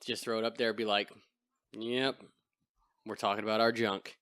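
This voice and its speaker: A man with a deep voice hosting a casual podcast chat, crude and self-deprecating. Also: level tone, normal speed, fairly bright tone, clean tone, dry recording. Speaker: podcast host. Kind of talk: casual chat over beers.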